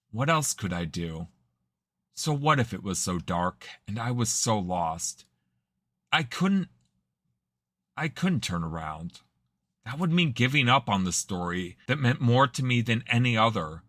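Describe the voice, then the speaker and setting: Guy doing a young man in an audio drama, halting and subdued, lowish voice, dry close mic. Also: a bit bright, dry recording. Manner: hesitant, subdued storytelling. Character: young man haltingly recounting a painful childhood memory.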